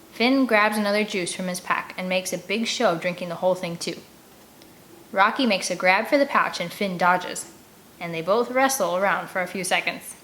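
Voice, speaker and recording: A woman's voice: mid-range voice, fairly bright tone. Woman reading a children's audiobook over background noise. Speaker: audiobook reader. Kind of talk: scripted read-aloud narration of a first-person children's novel.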